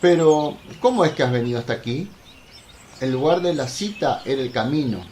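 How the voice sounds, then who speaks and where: An actor playing a humble, plain-spoken apostle, earnest and talkative, in a religious radio drama. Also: male voice, mid-range voice, expressive, moderate pace, darkish tone, lightly acted. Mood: humble, animated. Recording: roomy echo, faint noise, birdsong behind.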